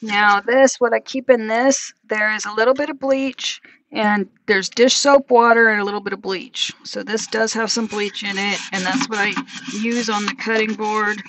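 A woman's voice: medium pitch, fairly even intonation, normal speed, noisy background. A woman talking casually through a cooking demonstration in a small van kitchen, narrating each step as she works. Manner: chatty, talks herself through each step. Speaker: van life vlogger.

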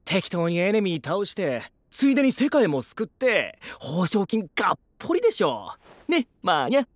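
A man's voice: sits high, wildly swooping pitch, moderate pace, no room sound, clean studio sound, heavily acted. An actor voicing a lax, money-minded young fantasy king with a breezy, teasing manner.

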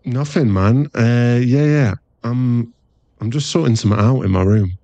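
A man's voice: medium pitch, a little slow, warm tone, no room sound, highs cut off, close to an everyday voice. A man playing a playful, affectionate, laddish werewolf boyfriend in a casual, teasing ASMR roleplay. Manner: casual, laddish, teasing, drops into murmured asides.

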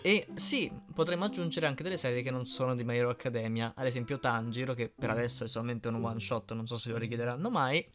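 A man's voice: mid-range voice, fast talker, hardly any pauses, a bit bright. A man in his early twenties, chatting casually as he reads and answers questions. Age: early 20s. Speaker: YouTube fandubber answering fan questions. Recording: music playing behind. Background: music.

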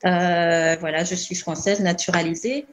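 A woman in her thirties from the Congo, introducing herself over a video call, earnest and halting with many fillers. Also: lowish voice, steady tone. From Republic of the Congo. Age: 30s. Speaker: youth ambassador. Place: bright room by a window. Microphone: laptop webcam on a video call.

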